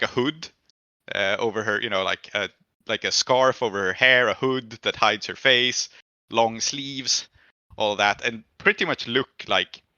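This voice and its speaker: A man's voice: on the low side, sharp tone, no echo, dull audio. A man improvising narration as game master in a tabletop roleplaying game, thinking aloud as he goes.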